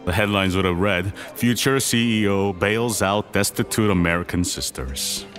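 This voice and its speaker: A man's voice: fairly low pitch, normal speed, fairly bright tone, natural voice. An actor playing a dignified, wealthy older Korean businessman and father, speaking formally and graciously in a podcast romcom drama. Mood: matter-of-fact.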